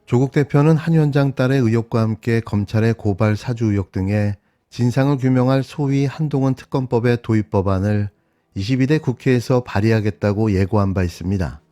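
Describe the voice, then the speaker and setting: A man reading a scripted news commentary in a steady, sharply opinionated tone. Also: medium pitch, a little fast.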